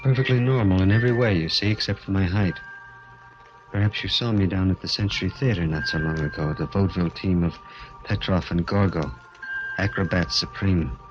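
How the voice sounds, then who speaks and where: Guy playing tormented little circus performer in old radio play, halting n intense, music underneath. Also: low voice, muffled recording, close to an everyday voice. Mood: bitter, brooding. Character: bitter, anguished little-person vaudeville acrobat, condemned prisoner. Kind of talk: 1940s radio drama acting.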